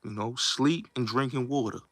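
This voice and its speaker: A man's voice: mid-range voice, moderate pace, vocal fry, a bit bright, no echo. A man in his late twenties hosting a casual livestream, speaking emphatically and repeating himself as he addresses viewers directly. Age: late 20s. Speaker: host. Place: New York.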